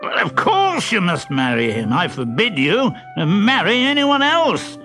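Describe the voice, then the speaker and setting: A man's voice: sits high, wildly swooping pitch, measured pace, sharp tone, faint music behind, voice pushed up, heavily acted. A man voicing a miserly old father from a children's storybook, blustering, bossy and spluttering with indignation. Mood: flustered.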